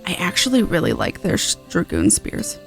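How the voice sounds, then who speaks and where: A woman streaming a video game with playful, expressive casual commentary. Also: fairly low pitch, moderate pace, vocal fry, faint music behind.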